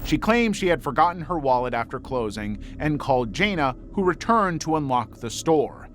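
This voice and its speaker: A man reading scripted true crime narration in a measured, dramatic way with deliberate pauses. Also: on the high side, sing-song, a bit bright, a little hiss or hum. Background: background music.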